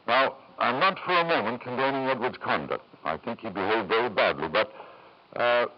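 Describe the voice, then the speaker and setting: A man's voice: mid-range voice, animated, normal speed, lightly acted. An actor playing a middle-aged lord in an old-time radio drama, urbane and insistent, hemming as he persuades. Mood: persuasive. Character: smooth, domineering wealthy lord and father. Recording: muffled 1940s-50s radio recording.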